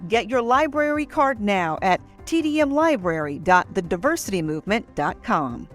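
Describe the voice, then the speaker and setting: A woman's voice: medium pitch, very animated, normal speed, faint music behind. A woman reading a scripted advertisement in an upbeat, persuasive way. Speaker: ad announcer. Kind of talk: scripted ad read.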